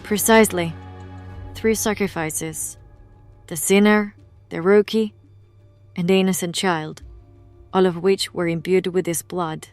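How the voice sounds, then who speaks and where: Actress as occult investigator, grave, knowing, formal, lowish female voice, music underneath, audio drama. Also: steady tone, unhurried, long pauses, a little mellow, clear, resonant tone, natural voice. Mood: grave, ominous. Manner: explanatory, formal wording.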